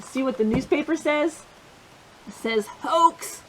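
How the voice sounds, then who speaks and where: A woman hosting storytime, reading a picture book aloud to children in an expressive way, with chatty asides and questions to the listeners. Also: sits high, takes it slowly, a little hiss or hum, low-quality recording.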